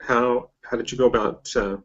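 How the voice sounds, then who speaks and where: An interviewer with an androgynous voice, hosting a casual chat and asking questions haltingly. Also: medium pitch, steady tone, a bit bright. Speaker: interviewer, host. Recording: dull, lo-fi internet call.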